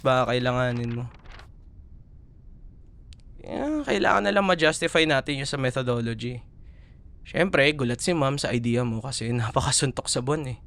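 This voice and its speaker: Casting: male actor for a young Filipino boyfriend in an audio roleplay; playful, nagging yet affectionate student, very animated intonation, medium pitch. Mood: encouraging, fond. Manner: teasing, coaxing, expressive. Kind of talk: ASMR boyfriend roleplay, casual Tagalog banter.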